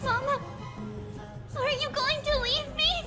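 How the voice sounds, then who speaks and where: A woman voicing a small, gentle girl in a video game, with a soft childlike manner, resigned beyond her years. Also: extremely high pitch, fairly even intonation, takes it slowly, heavily acted. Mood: pleading. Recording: long reverb, light music behind.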